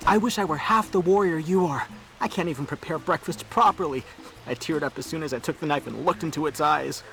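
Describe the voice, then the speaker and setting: A man's voice: on the high side, fairly quick, creaky, a bit bright, rough recording. A man playing an earnest, timid young man in a video game, apologetic, fretful and given to complaining. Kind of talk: video game character acting.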